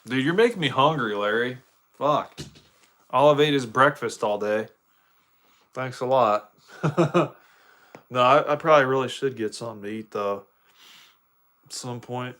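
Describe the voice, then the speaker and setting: A man's voice: medium pitch, unhurried, pauses often, fairly bright tone. An American man chatting casually with viewers on a live game stream, laid-back, slangy and joking.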